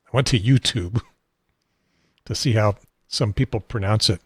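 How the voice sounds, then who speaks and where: Husky-voiced seventy-year-old American man. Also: on the low side, no echo, studio quality. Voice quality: husky, unsteady voice. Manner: rambling, halting, wry. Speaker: talk show host. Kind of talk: casual unscripted solo talk show.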